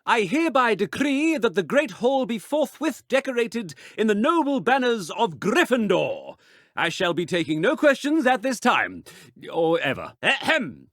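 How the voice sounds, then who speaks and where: A woman's voice: on the high side, sing-song, measured pace, a bit bright, no echo, studio quality, heavily acted. A woman putting on a pompous, self-important voice as a mock official making grand announcements in video game voice lines.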